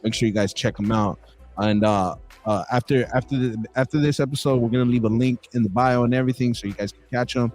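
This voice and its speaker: A man's voice: medium pitch, fairly even intonation, fast talker, darkish tone, faint music behind. Rambling man hosting a podcast. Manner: rambling, many restarts, calls people bro. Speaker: podcast host. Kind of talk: casual interview.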